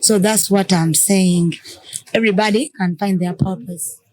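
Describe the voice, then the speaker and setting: A woman's voice: medium pitch, fairly even intonation, a little slow, a little mellow. Ugandan woman explaining with examples. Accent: Ugandan English. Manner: explains with examples, asks and answers own questions. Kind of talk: casual group conversation.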